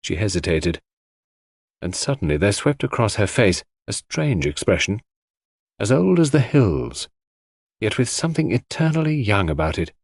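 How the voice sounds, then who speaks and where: A man's voice: fairly low pitch, big pitch swings, moderate pace, creaky, husky, dry recording, clean studio sound. A man reading a first-person story as an audiobook narrator, in a scripted literary style.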